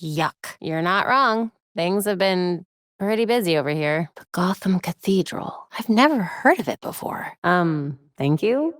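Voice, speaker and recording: Young video game heroine, American accent, wry, determined, crisp, conversational, dry studio sound. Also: female voice, fairly low pitch, animated, a little slow, dry recording. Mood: focused, wry. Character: young crime-fighting superheroine, dry-witted, determined, brisk. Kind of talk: scripted video game voice lines. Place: recording studio.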